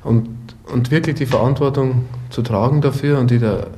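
A man giving a casual opinion haltingly, repeating words as he searches for phrases. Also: medium pitch, dark tone, slight background noise. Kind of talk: casual spontaneous opinion in a radio collage.

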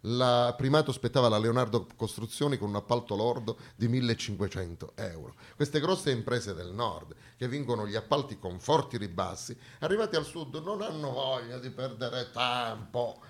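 A man with a southern Italian accent delivering a satirical stage monologue in a dramatic, sarcastic, expressive storytelling manner. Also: medium pitch, moderate pace, hardly any pauses.

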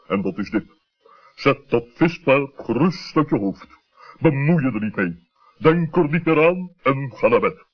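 A wise, stern owl, acted by a man, speaks in a husky voice with deliberate, fatherly authority and some pomposity. The accent is Netherlands Dutch, and the old radio play sound is muffled. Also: mid-range voice. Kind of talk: 1960s children's radio play acting. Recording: muffled vintage radio recording.

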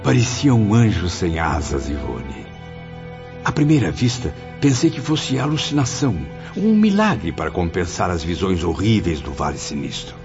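A man's voice: low-pitched, big pitch swings, moderate pace. A man playing a reflective, reverent spirit who narrates his afterlife memories in a radio drama, in a literary, emotive way. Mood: moved, awed. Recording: dull sound, music behind.

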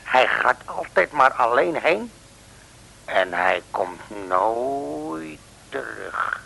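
An actor playing a simple, chatty lighthouse keeper in an old comic radio serial, telling things in an earnest, folksy way. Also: male voice, mid-range voice, very animated, extremely slow, gravelly, bright, forceful tone, exaggerated voice, low-quality recording. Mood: mysterious, earnest. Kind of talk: 1960s Dutch comic radio serial acting. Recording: hissy old radio recording.

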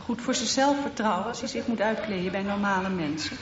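A woman's voice: mid-range voice, fairly quick, recorded from a distance, crowd noise, lightly acted. A woman playing a strict, overprotective mother in a radio drama, curt and disapproving. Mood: defensive, stern. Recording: dull, echoey, noisy, off microphone.

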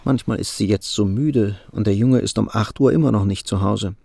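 A man narrating a scripted radio drama as an intimate storyteller, with a mellow, creaky voice. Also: fairly low pitch, moderate pace, no echo. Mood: matter-of-fact.

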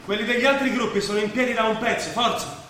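A man acting a brisk, practical group organizer in a stage play, commanding the others. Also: very high voice. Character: brisk, practical male organizer rousing evictees. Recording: echoey hall, distant mic, noisy.

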